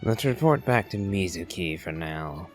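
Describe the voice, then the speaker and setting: A man giving low-key video game playthrough commentary, musing in a measured, wry way. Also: lively intonation. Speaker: gamer playing and voicing reactions. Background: game music.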